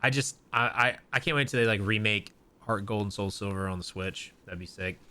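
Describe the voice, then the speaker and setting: A young man hosts a laid-back podcast. His voice is bright and sharp-edged, and he rambles from point to point, restarting sentences as he goes. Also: lowish voice, fairly quick, clean tone. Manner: rambling, many fillers and restarts. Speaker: podcast host. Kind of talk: casual chat.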